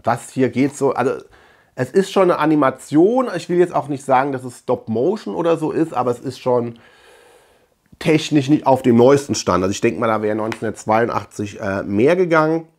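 Middle-aged man, medium pitch, casual reviewer talking to camera, halting delivery, searching for words. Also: a little mellow. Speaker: host of a film collection channel. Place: small room lined with shelves of collectibles.

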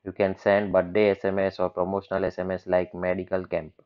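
A man with a very low voice explains software screens in a plain, matter-of-fact way. The sound is dull and lo-fi, like a basic computer mic. He moves step by step through each screen. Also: completely flat delivery, fairly quick. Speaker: software demonstrator. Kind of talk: screen-recorded software walkthrough.

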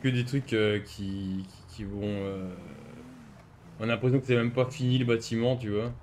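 A man's voice: fairly low pitch, steady tone, normal speed, a little mellow, slight background noise. A man giving casual, slangy gameplay commentary in a grumbling way, like a streamer. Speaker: gaming video maker, streamer.

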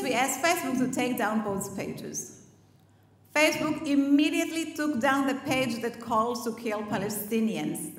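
Emphatic woman in an echoey hall. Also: fairly high pitch. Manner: measured, emphatic, list-like delivery. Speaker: conference speaker. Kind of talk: prepared public speech. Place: conference hall stage. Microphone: headset mic.

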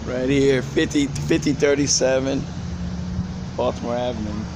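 A man's voice: medium pitch, fairly even intonation, normal speed, background noise. Chatty older Philly man on a phone. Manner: chatty, upbeat, plain-spoken. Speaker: vlogger. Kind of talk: casual phone vlog. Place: small restaurant indoors, then street. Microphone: phone camera held at arm's length. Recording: roomy restaurant with music behind.

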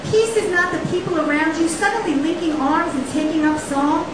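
A woman in her thirties giving a dramatic poetry reading, with emphatic, deliberate phrasing and rhetorical repetition. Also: extremely high pitch. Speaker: poet reading own work. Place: small indoor poetry venue on stage. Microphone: stand microphone, picked up by camera. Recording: echoey live room, distant camera, faint hiss.

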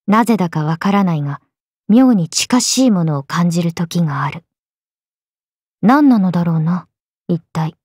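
A woman's voice: medium pitch, a little mellow, dry recording, clean studio sound. A woman voicing a young swordswoman character in a video game. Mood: flat, musing. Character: swordswomen: a lively young one and her stoic alter self.

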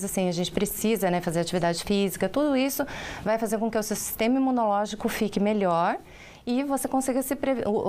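A woman's voice: medium pitch, darkish tone. A woman, speaking as a doctor on a studio talk show. She explains things patiently, dotting her sentences with little tag questions, into a lapel mic. A faint hiss sits under her voice. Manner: patient, explanatory, with frequent filler tags. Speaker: doctor guest. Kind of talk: explaining health advice on live TV.

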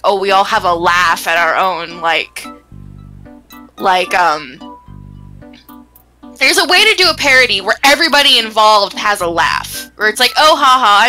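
Bright, animated woman chatting about games. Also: mid-range voice. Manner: animated, rambling, sweary. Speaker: gaming stream panelist. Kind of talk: casual game discussion.

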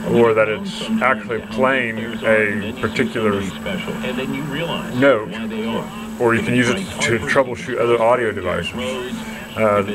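A man giving a casual, halting product demonstration from behind a hand-held camera indoors, as if selling something. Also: mid-range voice, rough recording. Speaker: seller demonstrating a restored vintage radio signal tracer. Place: dim indoor room, speaking from behind the camera.

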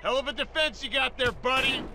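A man playing an aggressive, shouting police officer in a video game cutscene. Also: very high voice, a little fast, background noise. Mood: scornful. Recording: police sirens behind the voice.

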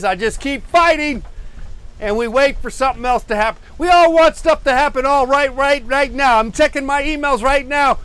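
Rambling high-voiced man streaming from his studio. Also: a bit bright, clean tone. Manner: meandering, self-deprecating, with frequent fillers and restarts; walking on a treadmill while talking. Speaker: host of a live painting stream. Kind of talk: rambling live-stream chat. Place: cluttered indoor art studio.

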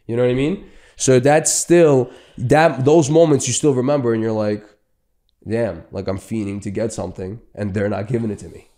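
A man in his thirties with a dark, mellow tone at a middle pitch. He talks in casual podcast banter, blunt and argumentative, swearing and using slang. He is close on a studio mic. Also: fairly even intonation, normal speed. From Ukraine, moved to Brooklyn as a child. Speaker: podcast host. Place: indoor studio. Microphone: close boom-arm studio microphone.

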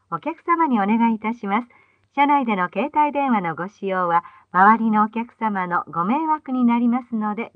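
A woman's voice: mid-range voice, dry recording. A woman reading scripted bus stop announcements in a polite, formal, carefully enunciated way, played back from old tape. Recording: dull phone-like old tape playback.

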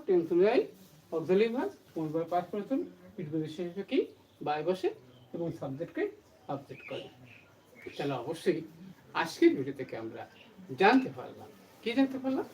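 A low-pitched woman teaches in a highly animated way, stressing and repeating her points. She often poses a question and answers it herself. The mic is at a distance, with faint noise behind her. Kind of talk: grammar lesson to camera.

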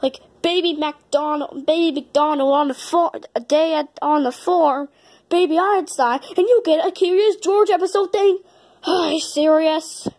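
A woman voicing an excitable, gushing, bickering toy fox in an improvised play skit. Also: high voice, measured pace. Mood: grumpy, bickering. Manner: gushing, gasping, shrieking, bickering.

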